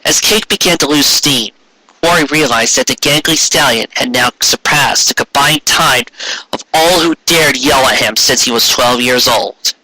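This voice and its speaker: A man's voice: mid-range voice, moderate pace, bright, forceful tone, dry recording, rough recording. A man narrating a fan fiction story in a dramatic amateur reading style.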